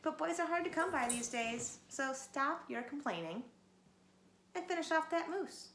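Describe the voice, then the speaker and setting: Woman doing a gruff father wolf. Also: high voice, animated, moderate pace, a bit bright, echoey room, voice pushed up. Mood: fatherly. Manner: barking, stern.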